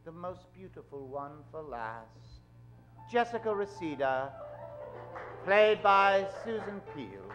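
A man in middle age narrating an old-time radio drama on stage, suave, with dramatic pauses. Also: sits high, sing-song, a bit bright, soft background music, poor audio. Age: middle-aged. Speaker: host and announcer of a radio show. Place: stage of a small theatre. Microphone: vintage-style stand microphone.

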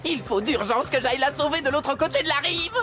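Cartoon monkey trickster, high swooping voice, excitable, whiny, theatrical, music underneath. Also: female voice, a little fast. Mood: panicked, pleading. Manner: exclamatory, theatrical. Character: mischievous, lying young male monkey, excitable and whiny. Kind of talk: French children's cartoon acting. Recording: music behind the voice.

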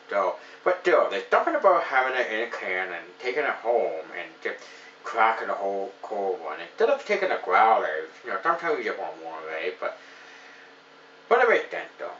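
A man's voice: deep voice, a bit bright, echoey room, far from the mic, dull audio, a little hiss or hum. A man in his thirties from Ontario, reviewing a beer at home in a casual, rambling way, full of "you know" fillers. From Ontario, Canada. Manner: rambling, enthusiastic, many fillers. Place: small home room with shelves of beer.

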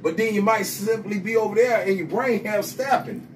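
A middle-aged man bragging and riffing in loud, profane banter inside a small vending room, filmed on a phone. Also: high-pitched, moderate pace, recorded from a distance, slight background noise. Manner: loud, brash, profane hype talk with laughter. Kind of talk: boastful rambling banter.

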